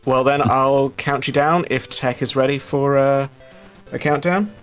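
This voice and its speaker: A man's voice: mid-range voice, fairly even intonation, a little slow, a bit bright, faint music behind. A man giving casual, explanatory live speedrun commentary in a chatty, wry, informal way.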